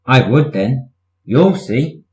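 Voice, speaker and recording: A man's voice: mid-range voice, lively intonation, slow pace. Guy doing a cocky little storybook train engine, voice swinging up and down, roomy sound. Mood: boastful. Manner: boastful, later meek and faltering. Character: conceited, cheeky young male steam engine. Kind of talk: children's storybook reading.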